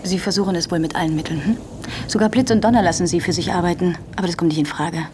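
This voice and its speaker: An actress as a low-voiced, sharp-tongued critic. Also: female voice, noisy background, close to an everyday voice. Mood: teasing, firm. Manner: teasing, brisk, combative. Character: sharp-tongued, self-assured female literary critic, combative and teasing. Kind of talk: German radio drama acting.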